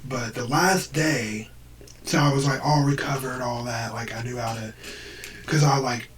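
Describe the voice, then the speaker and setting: A young American man with a deep, damaged voice that drops into breathiness, telling a personal story casually and hesitantly to the camera. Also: fairly even intonation, a little slow. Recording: noisy, distant microphone.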